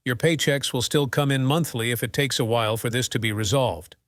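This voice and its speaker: A man acts out a genial, avuncular employer in an amateur audio drama. His voice is mid-pitched and recorded without any room sound. He speaks reassuringly. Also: a little fast, fairly bright tone, no room sound, clean studio sound, close to an everyday voice. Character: genial paternal male boss on a phone call.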